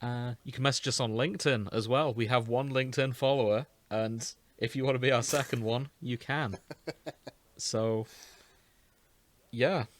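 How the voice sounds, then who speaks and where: A man's voice: medium pitch, fairly even intonation, moderate pace, slight background noise. A man hosting a podcast in a loose, casual chatting style. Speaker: podcast host. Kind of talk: casual chat, loose sign-off.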